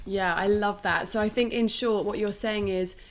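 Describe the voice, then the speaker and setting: A woman with a slightly croaky voice, chatting casually as a podcast co-host and leaning on "like" as a filler. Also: mid-range voice, steady tone, normal speed, noisy background. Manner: chatty, uses "like" a lot, speeds up. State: croaky voice, clearing throat.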